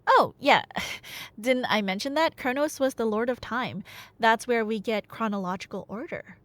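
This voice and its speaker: A woman playing a nerdy girlfriend in an ASMR roleplay, telling Greek myths conversationally with playful asides and dramatic pauses. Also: on the high side, fairly bright tone, dry recording, lightly acted. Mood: dramatic storytelling.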